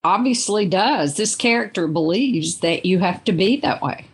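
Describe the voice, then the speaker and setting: A woman talking as a guest in a literary discussion, in a thoughtful, reflective and deliberate manner. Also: mid-range voice, lively intonation, breathy edge.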